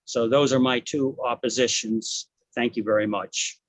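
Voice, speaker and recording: A man makes his objections at a community meeting, halting and restarting as he goes. The sound is dry and close. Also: medium pitch, fairly even intonation, fairly bright tone, no room sound, poor audio. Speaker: public commenter. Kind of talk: public comment at a community board meeting.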